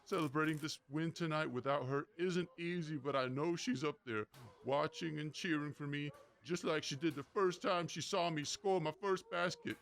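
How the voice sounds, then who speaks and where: Grieving young sports champ, male actor, lightly raised pitch, sincere and measured acceptance speech. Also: creaky, a bit bright. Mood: bittersweet gratitude. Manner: earnest, measured, sentimental.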